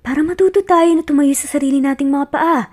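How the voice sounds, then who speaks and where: A woman voicing a gentle, remorseful man pleading earnestly with his former girlfriend in a romance audio story. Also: high voice, fairly quick, voice pushed up, lightly acted. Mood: earnest, explaining.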